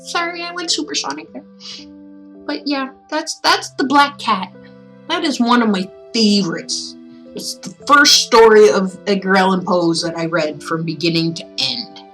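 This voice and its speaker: A woman's voice: on the high side, expressive, normal speed, fairly bright tone, faint music behind. A woman chatting casually as a livestream host, rambling and musing aloud in an informal way. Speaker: streamer reading stories aloud.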